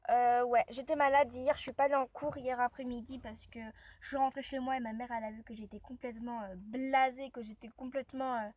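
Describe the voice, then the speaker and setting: A high-pitched woman talks casually to her viewers from inside a car, her throat sore from a cold. She rambles and chats loosely, story after story. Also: fairly even intonation, brisk, hardly any pauses, a little mellow. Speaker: vlogger. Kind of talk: casual vlog chat. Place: inside a parked car, rain outside.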